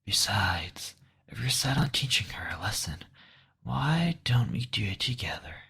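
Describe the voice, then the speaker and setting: A man's voice: fairly low pitch, expressive, a little slow, fairly bright tone, full character voice. A man voicing a playful, flirtatious demon lord who coaxes and mocks in intimate roleplay audio. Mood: playful, coaxing. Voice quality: breathy, whispery. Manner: coaxing, flirtatious, mocking.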